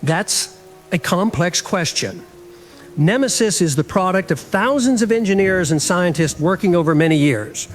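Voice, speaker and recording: A man playing a measured, evasive deputy director who defends his program in formal, diplomatic language in an audio drama interview. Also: high-pitched, expressive, faint music behind. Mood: guarded, assured. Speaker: interviewed government official. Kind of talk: scripted radio drama interview.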